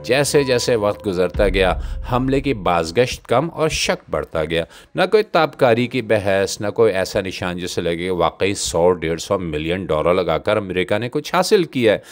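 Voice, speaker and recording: Deep-voiced sardonic man, political commentary. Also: sing-song, normal speed, few pauses. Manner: sardonic, literary phrasing. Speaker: commentator, host. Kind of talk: scripted satirical political commentary.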